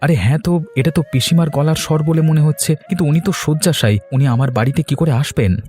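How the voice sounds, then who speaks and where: A man's voice: on the high side, fast talker, mellow, soft background music. A man voicing a husband and nephew in a Bengali cartoon, speaking in an earnest, plain way.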